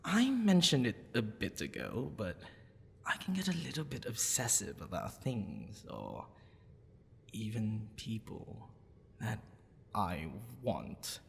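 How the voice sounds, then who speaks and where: A man playing a smitten, possessive half-dragon librarian in a boyfriend ASMR roleplay, with a halting, stammering, husky delivery. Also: on the low side, huge pitch swings, slight background noise, exaggerated voice. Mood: calm, musing. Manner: halting, stammering, breathy sighs and nervous laughs. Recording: long reverberant echo.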